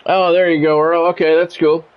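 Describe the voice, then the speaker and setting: Male game streamer, fairly high voice, announcer-like clipped play calls mixed with easy viewer chat, music underneath. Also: flat delivery, moderate pace, clear, resonant tone, dull audio. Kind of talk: sports commentary mixed with casual livestream chat.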